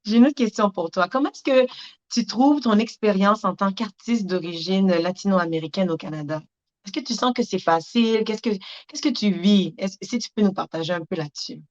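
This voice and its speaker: Female host, encouraging interviewer, middle pitch, muffled recording. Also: normal speed. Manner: encouraging, frequent affirmations. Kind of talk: casual interview. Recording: dull lo-fi audio.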